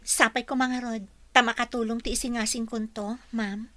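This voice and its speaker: An actress playing an earnest, respectful young office employee in a Filipino radio drama, dutiful and determined. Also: female voice, a little high, little pitch movement, moderate pace, bright, forceful tone, no echo.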